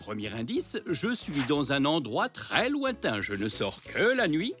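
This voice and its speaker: A kindly old zebra grandpa in a cartoon. He speaks slowly with big swings in pitch, playful and a little hesitant, over light music. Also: huge pitch swings, a bit bright, full character voice. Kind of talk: animated children's series dub.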